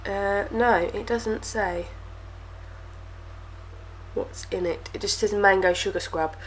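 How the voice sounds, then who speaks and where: A woman's voice: mid-range voice, steady tone, slow pace, a little hiss or hum, low-quality recording. A young woman reviewing beauty products to camera, talking casually and sounding stuffy from a cold. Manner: chatty, with lots of fillers and repeated words. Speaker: beauty vlogger.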